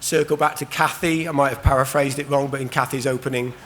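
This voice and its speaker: A man with a hoarse, worn voice hosts a panel at a trade show conference. He talks loosely and warmly, full of ums and restarts. His pitch sits in a middle range, with faint room noise behind. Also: steady tone, quick pace. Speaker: panel host. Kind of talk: hosting a live conference panel.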